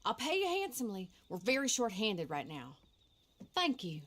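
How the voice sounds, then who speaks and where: A woman's voice: a little high, huge pitch swings, moderate pace, a bit bright, full character voice. An actress playing a prim, sardonic lady ranch owner, polite and pedantic, in a video game. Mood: pleading. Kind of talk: video game character acting.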